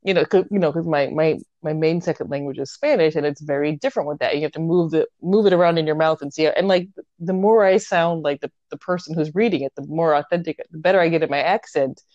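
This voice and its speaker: A young adult woman telling family stories in casual chat with her sister, animated and full of fillers. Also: low-pitched, fast talker, dry recording, lo-fi sound. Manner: animated storytelling, many fillers, laughs often.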